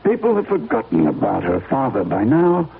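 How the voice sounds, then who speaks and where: Stern, cautioning man, low gravel-rough rasp, tinny old radio drama. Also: huge pitch swings, normal speed, heavily acted. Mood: stern, admonishing. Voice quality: raspy.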